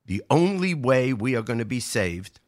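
A man's voice: mid-range voice, sing-song, normal speed, a little mellow. A man giving earnest, emphatic political commentary as a talk show regular, repeating his key points. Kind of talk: political commentary before a live audience.

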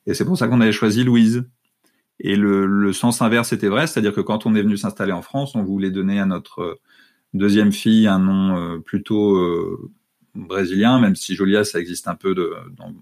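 A man in his thirties, a guest in an interview, talking about his family with many pauses and fillers. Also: on the low side, steady tone, a little mellow. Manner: hesitant, many fillers and restarts. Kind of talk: conversational interview.

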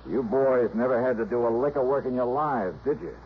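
An actor playing a probing insurance investigator who narrates and questions in a radio drama, direct and conversational. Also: male voice, mid-range voice, fairly quick, natural voice. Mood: pressing. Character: brisk, probing insurance investigator, wry and patient. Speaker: detective and narrator. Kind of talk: 1960s radio detective drama acting. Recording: muffled, noisy old radio recording.

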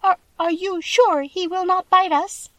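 A woman voicing a tiny, regal female field mouse with a squeaky little voice, exclaiming in queenly fashion for a children's storybook. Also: steady tone, a little slow, pushed and bright, no echo, highs cut off, heavily acted. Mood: timid.

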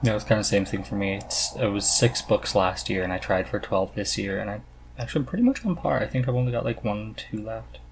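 Low-voiced man chatting, noisy recording. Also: fast, vocal fry. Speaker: podcast host. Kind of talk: casual conversation about reading.

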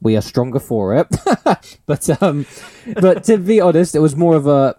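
A man's voice: a little high, lively intonation, normal speed, mellow, no echo. A man, hosting a podcast in a rambling, teasing, laugh-filled chat. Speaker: podcast host.

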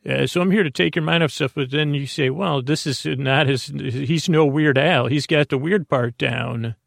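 Male bedtime podcast host, heavy vocal fry, dry close sound, halting and digressive rambling delivery. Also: fairly high pitch, no echo. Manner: halting, digressive, repeats and trails off. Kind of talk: rambling, meandering monologue.

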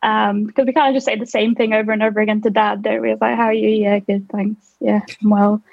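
Gravelly young woman, dry lo-fi sound. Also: medium pitch, flat delivery, fast talker, no room sound. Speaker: guest. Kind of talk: casual chat. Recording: dry muffled lo-fi.

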